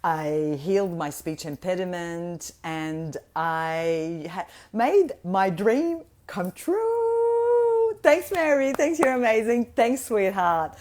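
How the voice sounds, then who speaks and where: A woman's voice: medium pitch, sing-song, slow pace, darkish tone. A woman with a French accent giving a gushing, effusive motivational talk to an online audience, often restarting her sentences. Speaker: guest speaker and mentor.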